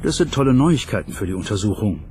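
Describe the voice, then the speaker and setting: A man's voice: on the low side, very animated, fast talker, darkish tone, faint music behind. A man speaking a scripted first-person documentary voice-over as an investigator.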